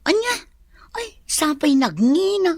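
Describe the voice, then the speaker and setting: A woman's voice: very high voice, big pitch swings, slow pace, no echo, full character voice. An actress playing a broke young working woman in an Ilocano comedy radio drama, chatty, exclamatory and whiny. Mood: complaining.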